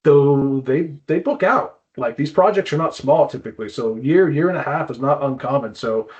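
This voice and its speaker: A man's voice: medium pitch, normal speed, darkish tone. Male presenter, conversational explainer, mid-range voice, slightly lo-fi sound.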